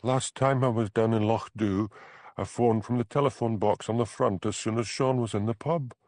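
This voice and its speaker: A man's voice: steady tone, normal speed, a little mellow, no echo, close to an everyday voice. A man reading an audiobook, voicing an anxious young woman trapped in an unhappy marriage, with a plaintive, pleading manner. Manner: pleading, plaintive, high-pitched. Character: anxious young crofter's wife, trapped and tearful. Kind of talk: audiobook character voice.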